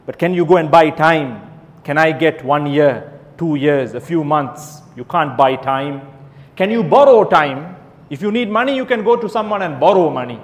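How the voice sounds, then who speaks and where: A man's voice: fairly high pitch, animated, normal speed. A man preaching a sermon to a live crowd, driving points home with rhetorical questions and emphatic repetition. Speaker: Islamic preacher. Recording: echoey hall with long reverb.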